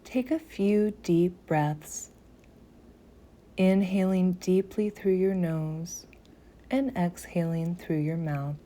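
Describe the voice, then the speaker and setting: A woman guiding a meditation in a soothing, gentle manner, softly breathy at phrase ends, with long pauses between short phrases. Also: lowish voice, fairly even intonation. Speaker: yoga nidra meditation guide. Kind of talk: slow guided meditation.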